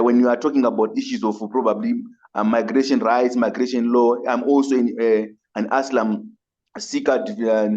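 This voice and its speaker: Zimbabwean man, migrant advocate on a webinar, earnest and halting, mid-range voice, muffled call audio. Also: medium pitch, a little mellow. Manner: earnest, halting, repeats and rephrases as he goes. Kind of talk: webinar audience comment.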